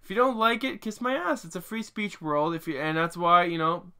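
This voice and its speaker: Bright-voiced young man rambling casually. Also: sits high, fairly even intonation, fairly quick, smooth. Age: 20s. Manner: rambling, many restarts and fillers, swears casually. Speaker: vlogger. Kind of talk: casual rambling opinion vlog.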